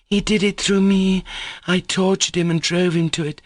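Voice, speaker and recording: A man's voice: high voice, little pitch movement, moderate pace, no room sound, clean studio sound, voice pushed up. A man voicing Grushenka, a distraught young woman crying out her guilt. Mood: anguished. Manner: crying, heart-rending.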